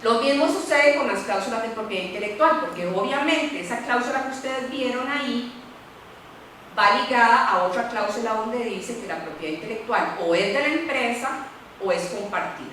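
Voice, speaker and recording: A middle-aged woman lawyer, speaking on a university panel in a candid, animated way and often adding "¿verdad?". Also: fairly high pitch, normal speed, a little hiss or hum. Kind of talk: panel talk. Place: lecture room. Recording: echoey room, voice from far off.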